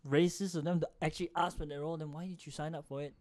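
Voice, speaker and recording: A man's voice: on the high side, fairly even intonation, rapid-fire, darkish tone. A young man with a Singaporean accent, rambling and joking as a co-host in a casual podcast chat.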